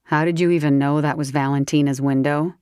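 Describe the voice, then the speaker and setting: A woman's voice: steady tone, a little fast, darkish tone, clean tone, no echo, clean studio sound, lightly acted. A woman voicing a stern, protective man who speaks firmly and in a clipped way in an audiobook. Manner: firm, clipped, low-key. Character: stern, protective grown man, gruff and calm.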